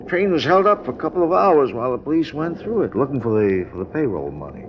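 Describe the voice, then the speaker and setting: Actor as chatty, swooping radio train conductor. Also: male voice, medium pitch, sing-song, fast, close to an everyday voice. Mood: helpful, chatty. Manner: hesitant, rambling, polite. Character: obliging, chatty male train head conductor. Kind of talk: 1950s radio drama acting. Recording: dull, noisy 1950s radio recording.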